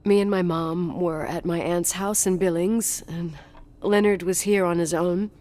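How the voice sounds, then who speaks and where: A woman's voice: fairly low pitch, steady tone, unhurried, dark tone, close to an everyday voice. An actress playing a strong-willed young woman in a video game, guarded and dryly teasing, haunted by her past. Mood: wistful, heavy. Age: young adult. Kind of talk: naturalistic video game voice acting.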